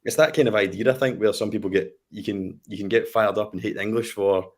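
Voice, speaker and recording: A man's voice: on the low side, fast. A Scottish man in his late thirties, chatting casually about films and telling a laid-back family story with easy laughs. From Scotland. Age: late 30s. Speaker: podcast guest. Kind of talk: casual film discussion.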